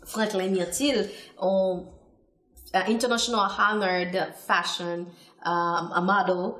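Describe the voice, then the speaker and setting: A woman from Haiti with a Haitian accent hosting a talk show interview, speaking haltingly and mixing languages. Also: mid-range voice, fairly even intonation, fairly bright tone, reverberant. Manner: halting, switches between English, French and Creole, many fillers.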